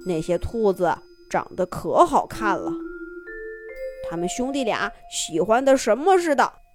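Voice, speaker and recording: Woman doing a slow, loud old great-grandpa. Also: mid-range voice, sing-song, music in the background. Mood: doting. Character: kindly hard-of-hearing old great-grandfather.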